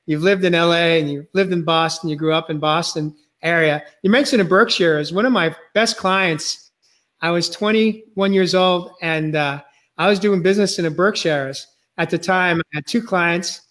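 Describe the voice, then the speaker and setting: High-voiced New England man hosting. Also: normal speed. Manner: rambling, filler-heavy, warm prompting. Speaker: podcast host. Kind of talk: casual video-call interview. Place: home room, indoors. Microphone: video call webcam.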